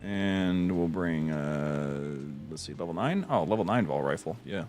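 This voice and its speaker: Chatty low-voiced man gaming on stream. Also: expressive, a little slow, a little mellow, soft background music. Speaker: livestream host playing a game. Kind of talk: casual gaming commentary.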